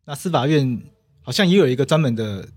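Quick, slightly husky male podcast host. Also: medium pitch, expressive, darkish tone, no echo. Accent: Taiwanese Mandarin. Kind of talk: conversational podcast interview.

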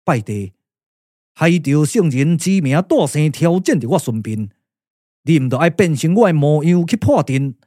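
A man's voice: a little high, animated, moderate pace, warm tone, no echo, clean studio sound. A man with a Taiwanese accent playing a composed, commanding strategist and elder brother in a puppet-theatre radio drama, declaiming in a stylised way. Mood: questioning, patient.

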